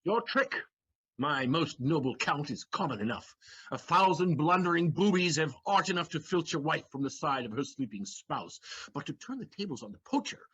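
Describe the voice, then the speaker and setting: An actor plays a quick-witted young servant with a husky, fairly high voice. He talks in a flowery, theatrical way. The sound is dull and lo-fi, like a stage recording. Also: lively intonation, a bit bright. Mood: scheming. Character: Figaro, witty excitable young manservant, male. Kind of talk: stage audio play acting.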